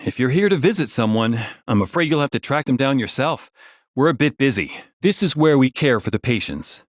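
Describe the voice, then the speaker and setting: Game clinic attendant, played by a man: mid-pitched, animated, polite, close dry sound. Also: fairly quick, no echo, close to an everyday voice. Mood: polite, harried. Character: busy, courteous male clinic attendant in a game.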